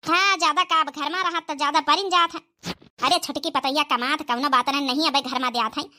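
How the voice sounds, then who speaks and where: A woman's voice: sits high, fairly even intonation, a little fast, sharp tone, a little hiss or hum. A woman voicing an elderly, grumbling but fond village mother-in-law with an Awadhi accent in a comedy cartoon. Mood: matter-of-fact. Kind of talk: rural family comedy cartoon dialogue.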